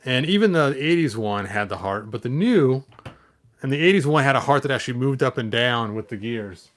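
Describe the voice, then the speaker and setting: A man's voice: medium pitch, animated, a little fast. Casual, rambling man hosting a vlog. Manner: digressive, talking to himself while handling things. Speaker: toy collector, video host.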